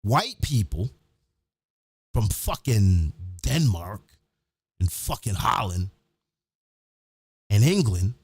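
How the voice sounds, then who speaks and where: A man's voice: lowish voice, sing-song, takes it slowly, long pauses, slightly rough, no echo. A man hosting his own show, giving a blunt, profane, emphatic opinionated monologue in a casual ranting style.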